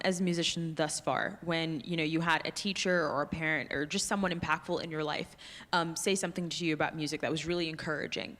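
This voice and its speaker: A woman moderating a live panel, chatty and casual, who thinks aloud as she phrases her questions. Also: lowish voice. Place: large hall. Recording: long hall reverb from a live panel.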